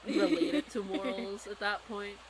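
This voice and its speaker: A woman's voice: mid-range voice, normal speed, a little hiss or hum. A woman in a casual, rambling fan discussion, talking as a podcast co-host.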